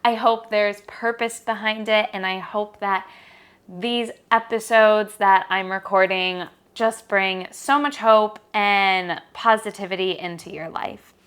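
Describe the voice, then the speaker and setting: A woman's voice: mid-range voice, slow pace, fairly bright tone, smooth. A young woman from Michigan with an American accent, hosting a solo podcast in an earnest, encouraging, personal way. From Michigan, United States. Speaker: podcast host.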